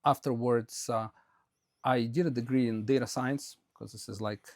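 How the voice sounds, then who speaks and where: Brief: Russian-born male podcast guest, quick rambling delivery, gravelly low voice, dry close sound. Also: fairly even intonation, a little mellow, no echo. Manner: rambling, many fillers and restarts. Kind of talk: conversational interview answers. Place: Quebec, Canada.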